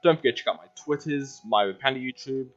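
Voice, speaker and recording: A man's voice: mid-range voice, a little fast, a bit bright, muffled recording. A man giving casual let's-play commentary as the host of a gaming video.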